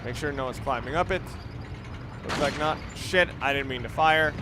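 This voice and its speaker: A man's voice: medium pitch, moderate pace. A man giving off-the-cuff, rambling walkthrough commentary on a video game, instructive and often correcting himself. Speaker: gamer giving a let's play commentary. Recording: noisy, game gunfire behind. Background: video game ambience and gunfire.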